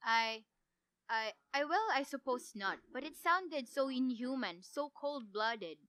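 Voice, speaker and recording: A woman's voice: high voice, lively intonation, slow, bright, forceful tone, slight background noise, heavily acted. A teenage girl acting in a radio play as an anxious, demanding bedridden wife, fretful and insistent, stammering when pressed. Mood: shaken, hesitant. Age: teens, ninth-grade student.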